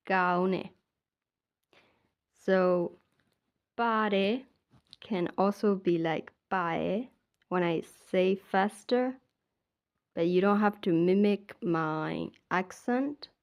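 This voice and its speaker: Slow, patient Burmese-accented woman teacher. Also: mid-range voice. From Myanmar. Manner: slow, patient, repeats each word twice. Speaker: language teacher. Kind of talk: casual language lesson.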